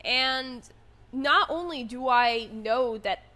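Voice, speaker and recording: High, bright, youthful young woman. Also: slow. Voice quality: high, youthful voice, sometimes mistaken for a child's. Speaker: online content creator telling their own story. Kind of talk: casual personal storytelling monologue.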